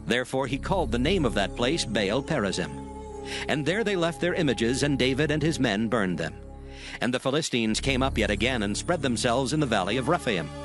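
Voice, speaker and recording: A man narrating dramatized scripture in a measured, formal way. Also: medium pitch, expressive, over music.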